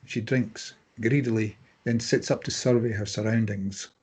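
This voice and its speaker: A man's voice: lowish voice, steady tone, moderate pace, darkish tone, husky. A man giving scripted narration, reading out the stage directions of a drama.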